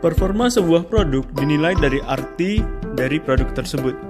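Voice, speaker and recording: A man with a somewhat raised pitch reads a scripted lesson narration in a steady, explanatory way. Loud background music runs under his voice-over throughout. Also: normal speed.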